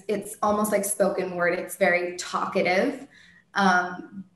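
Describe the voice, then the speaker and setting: A woman, a singer-songwriter, answering interview questions in a soft soprano voice, thoughtful, with many ums and restarts. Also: lowish voice, reverberant.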